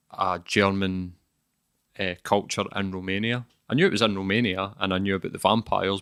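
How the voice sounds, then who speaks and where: Bright-voiced podcast host. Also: male voice, low voice, no echo, clean studio sound. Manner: hesitant, frequent "um" and "sort of". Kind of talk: casual interview hosting.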